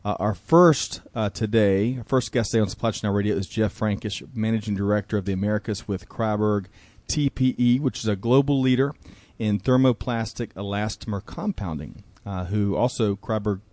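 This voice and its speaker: An upbeat, chatty man hosts a business radio talk show. His voice is low, and the recording is dry and close. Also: normal speed, a little mellow, no echo. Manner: upbeat, chatty, uses y'all. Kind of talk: casual podcast chat.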